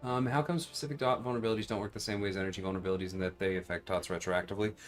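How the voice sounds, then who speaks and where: A man's voice: lowish voice, fairly even intonation, rapid-fire, faint music behind. A man hosting a casual livestream, explaining game mechanics and answering viewers while he plays. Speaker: livestream host and game designer. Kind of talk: casual livestream chat and gameplay commentary.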